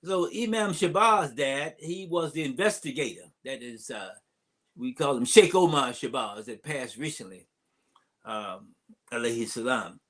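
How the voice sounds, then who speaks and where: An older man, an imam, giving an unscripted public address, telling stories from memory in a halting way with digressions. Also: medium pitch, expressive, fairly bright tone. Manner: halting delivery with long digressions. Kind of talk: unscripted storytelling address, reminiscing.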